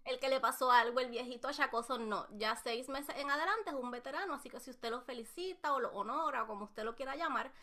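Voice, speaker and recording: A woman's voice: high-pitched, a little fast, few pauses, sharp tone. A woman co-hosting a podcast, chatting and explaining things to listeners. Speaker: podcast co-host.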